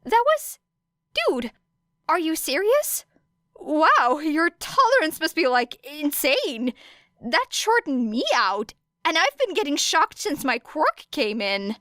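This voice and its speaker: A woman acts out a goofy, easily awed schoolboy in an audiobook. He blurts in a high, lively voice, hesitant and slangy, close and dry. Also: takes it slowly, no echo, exaggerated voice. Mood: amazed. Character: sheepish, awestruck, goofy teenage boy student.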